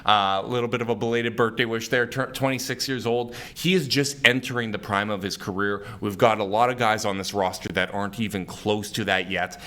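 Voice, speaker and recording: A man hosting a sports podcast, his voice a bit raspy and hoarse from cheering, breaking down a game with emphatic, casual analysis. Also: on the low side, a little fast, few pauses. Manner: energetic, emphatic basketball analysis. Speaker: podcast host.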